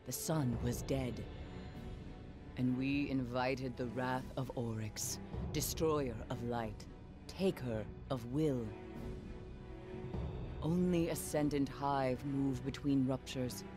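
An actor as an ominous mystic lore-keeper, slow delivery, grave, scripted game narration, music behind. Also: medium pitch, steady tone, close to an everyday voice.

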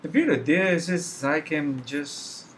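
A middle-aged man whose first language is Spanish talks through a live drawing stream with a bright, forward tone. His English carries a Spanish accent, and he pauses often to hunt for words, filling the gaps with "uh" and "like." He sounds like he is at a desk in a small home room. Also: on the high side, a little hiss or hum. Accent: Spanish-speaking. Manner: halting, searching for words, many fillers. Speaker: artist streaming a drawing session. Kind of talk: casual live-stream commentary while sketching.

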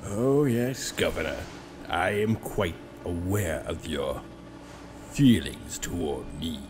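An actor playing a sinister, gloating emperor in a science-fiction audio drama, speaking in a regal, menacing, drawn-out manner. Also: male voice, deep voice, animated, noisy background. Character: sinister, gloating galactic emperor, probing and suspicious.

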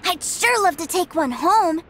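A woman's voice: very high voice, animated, a little slow, a bit bright, exaggerated voice. An eager little boy. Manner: eager and wide-eyed, easily thrilled. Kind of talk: game voice acting.

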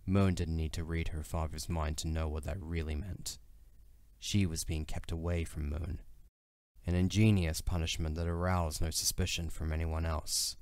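Deep-voiced man narrating a story. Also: a little mellow, dry recording, clean studio sound. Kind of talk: scripted dramatic story narration.

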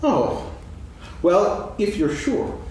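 An actor playing a gentle, simple-minded, kindly bear in a staged reading of a children's story, in an echoey hall with faint hiss. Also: male voice, high-pitched, sing-song, drawn-out words, far from the mic, exaggerated voice. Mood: mild. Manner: gentle, musing, polite.

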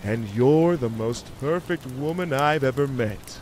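A man's voice: mid-range voice, a little slow, darkish tone, slight background noise, natural voice. A man voicing a suave, affectionate male cartoon beaver with a cool, sweet-talking manner. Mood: smooth, affectionate.

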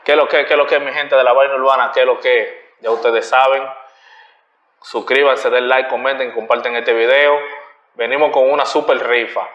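A young adult man with a Dominican Spanish accent hosts a relaxed interview in friendly street slang. His voice sits at a middling pitch. It sounds roomy and dull, picked up by a camera across a bright living room. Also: moderate pace. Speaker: host. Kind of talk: casual interview.

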